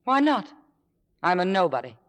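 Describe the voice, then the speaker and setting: An actress playing a demure, ambitious young stage actress in a vintage radio drama, soft-spoken, modest and measured. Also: female voice, fairly low pitch, big pitch swings. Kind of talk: 1950s radio drama acting. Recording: reverberant vintage radio broadcast.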